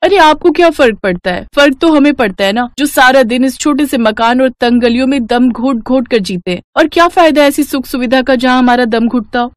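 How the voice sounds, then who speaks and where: A woman's voice: high-pitched, fairly quick, hardly any pauses, no echo, clean studio sound, pitched above the performer's own voice, lightly acted. A woman playing a discontented young wife in a cartoon story, nagging and pleading expressively. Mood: complaining. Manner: complaining, expressive. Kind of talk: cartoon moral story acting.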